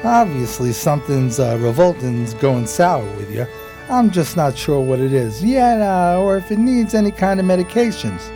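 An actor playing a dim, streetwise, loyal homeless sidekick in an American radio play, with hesitant, slangy street talk. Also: male voice, fairly high pitch, expressive, moderate pace. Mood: grumbling, evasive. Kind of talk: radio play dialogue and narration. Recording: music behind the voice.

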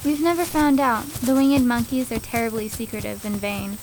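Radio fairy tale: earnest young woodland daughter played by a woman, fairly high voice, adventurous and dutiful. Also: fairly even intonation, moderate pace, slight background noise, lightly acted, poor audio. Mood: wary, earnest.

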